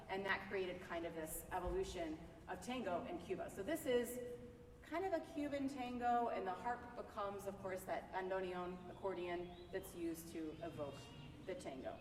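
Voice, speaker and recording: A woman harpist giving a chatty, explanatory spoken introduction at a live recital, with a loud voice and "okay?" asides. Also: mid-range voice, slight background noise. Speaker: concert harpist. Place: large reverberant shrine hall, indoors. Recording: echoey hall, voice from far off.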